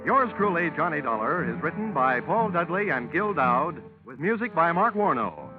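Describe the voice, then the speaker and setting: A man's voice: on the high side, sing-song, moderate pace. A man reading a network promotion and the closing credits with a formal, emphatic 1940s announcer delivery. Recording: dull old radio broadcast with music.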